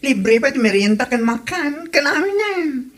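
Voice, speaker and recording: A woman's voice: sits high, big pitch swings, a little slow. A woman with an Ilocano Filipino accent acting a helpful, gossipy village friend in a comedy audio drama. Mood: encouraging.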